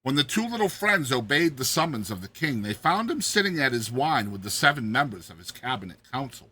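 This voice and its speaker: A man's voice: mid-range voice, big pitch swings, a little fast, bright, forceful tone, breathy edge. A man narrating a classic tale as a dramatized reading, theatrical and emphatic.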